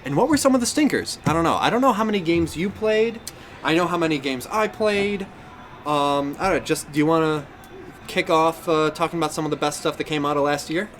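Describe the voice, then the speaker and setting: Higher-voiced man chatting over background noise. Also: a little fast, a bit bright. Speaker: podcast host. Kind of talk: casual gaming chat.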